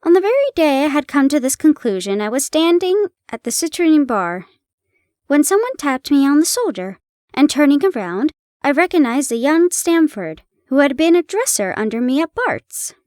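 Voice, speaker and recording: A woman reading a classic story aloud in a calm, steady storytelling voice as an audio roleplay narrator. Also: sits high, moderate pace, no room sound, studio quality.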